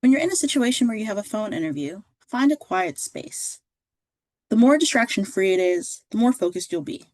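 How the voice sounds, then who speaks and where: A woman reading a scripted instructional narration as a career services presenter, in a clear, measured, instructive way. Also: medium pitch, a little mellow, dry recording.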